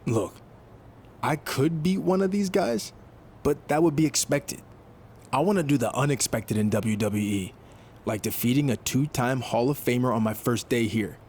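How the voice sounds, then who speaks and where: A man's voice: medium pitch, moderate pace, a little mellow, slight background noise, close to an everyday voice. A man playing a confident, dryly teasing indie wrestler turned new recruit in a video game cutscene. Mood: brash.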